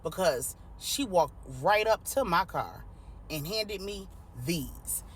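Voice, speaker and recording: Narrator doing a stern protective woman, slow n pointed, low voice, story drama. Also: expressive, a little hiss or hum. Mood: accusing. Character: stern, protective woman confronting an abusive husband.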